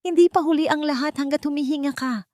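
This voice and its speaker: A woman's voice: sits high, fast, darkish tone, dry recording, clean studio sound, voice pushed up, close to an everyday voice. A woman voicing a loyal, resolute female friend in an audio drama story, speaking firmly and urgently. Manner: firm, urgent.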